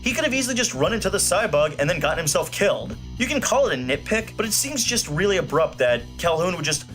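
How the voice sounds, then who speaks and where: A man's voice: high-pitched, big pitch swings, fast talker, a bit bright, faint music behind. A man delivering scripted film review commentary in an opinionated, casually irreverent way. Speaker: film review show host.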